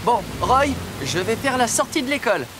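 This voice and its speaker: Patient police-car robot, very animated. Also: female voice, fairly high pitch, moderate pace, very noisy. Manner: patient, instructive. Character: kind, careful police-car robot hero teaching children safety. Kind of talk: dubbed children's cartoon dialogue. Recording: loud music bed behind voice.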